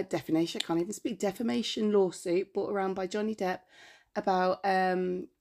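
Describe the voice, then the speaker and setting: Congested woman with a gravelly voice. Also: lowish voice, a little mellow. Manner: chatty, rambling, self-correcting. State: congested, voice going. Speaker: podcast co-host. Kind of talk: casual chat.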